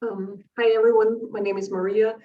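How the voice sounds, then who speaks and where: A woman's voice: medium pitch, little pitch movement, moderate pace. A woman with an Austrian accent, a soil scientist, introducing herself carefully and steadily on a video call. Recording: roomy, distant, muffled call audio.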